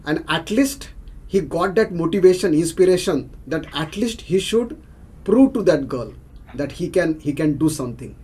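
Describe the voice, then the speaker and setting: A man with an Indian accent speaking haltingly as a participant on a video call. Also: on the high side, animated, a little mellow, slightly rough. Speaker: club session participant.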